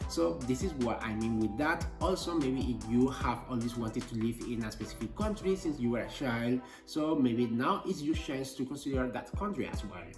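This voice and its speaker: A man delivers upbeat, emphatic advice in a mid-range voice, with sudden leaps in pitch. He sounds set back from the mic in an echoey room, with quiet music playing underneath. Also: animated, moderate pace, few pauses, recorded from a distance. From Spain. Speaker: expat vlogger. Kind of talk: informational vlog.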